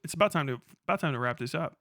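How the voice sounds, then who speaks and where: A man's voice: a little high, racing, dry recording. Guy streamer ranting and cussing, voice jumps all over, super dry close sound. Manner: profane, excitable, laughs a lot. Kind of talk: casual livestream chat.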